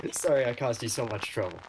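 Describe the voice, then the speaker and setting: An actor playing a polite, slightly awkward male shop clerk in a radio play, speaking formally and haltingly. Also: mid-range voice, background noise, natural voice. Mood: wry, subdued. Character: courteous, awkward male shop clerk, gently wry suitor.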